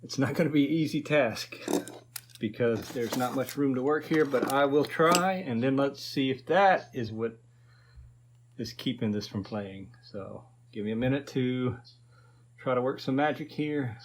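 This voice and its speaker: A man's voice: mid-range voice, measured pace, a little hiss or hum. A man in his fifties casually narrating a repair to viewers, thinking aloud in a folksy way. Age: 50s. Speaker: host of a repair video channel.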